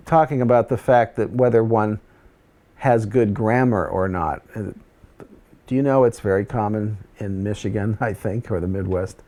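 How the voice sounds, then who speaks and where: A man's voice: fairly low pitch, normal speed, warm tone. Rambling man, hesitant and reflective. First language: Dutch. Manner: rambling, hesitant, with many fillers. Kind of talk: casual conversation.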